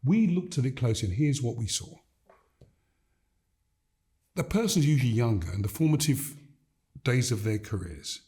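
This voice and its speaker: An older man from Gambia, a leadership coach, talking in an interview in a measured, emphatic way that builds points through repeated phrases. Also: mid-range voice, very animated, mellow. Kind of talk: reflective interview conversation.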